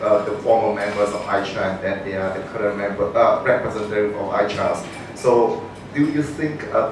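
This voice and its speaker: A man with a fairly low voice puts a question to a panel from the floor, stumbling through fillers and restarts. He sounds far from the microphone in a big echoing room with steady noise behind him. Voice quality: halting, many fillers and restarts. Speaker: audience member asking a question. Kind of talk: hesitant question at a panel discussion. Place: large conference room. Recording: echoey hall from far off, noisy.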